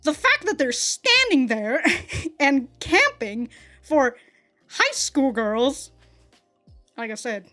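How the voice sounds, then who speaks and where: A woman in her thirties reacting and reviewing in a casual, unfiltered way, her voice sitting a little high. She swears freely, laughs often, and trips over restarts and stammers. Faint music plays underneath. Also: big pitch swings, pushed and bright. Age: 30s. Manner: blunt and profane, laughing often; halting, with many restarts and stammers.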